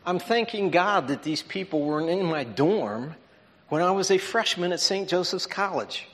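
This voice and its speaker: Male preacher, bit high-pitched, talky teaching style, big hall echo. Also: animated, moderate pace, a bit bright. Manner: conversational, explanatory, checks in with listeners. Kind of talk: sermon lesson. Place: large auditorium. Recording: long reverb in an auditorium.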